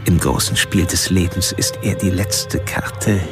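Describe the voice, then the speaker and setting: Male serial killer, radio drama monologue: deep-leaning, pompous, self-absorbed, set over music. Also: steady tone, a little slow. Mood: grandiose, brooding. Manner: solemn, pompous, philosophical monologue.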